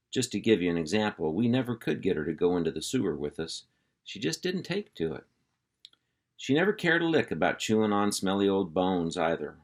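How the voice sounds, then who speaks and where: Low-voiced man reading a storybook. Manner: easygoing folksy storytelling. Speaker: reader. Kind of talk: reading a children's chapter book aloud.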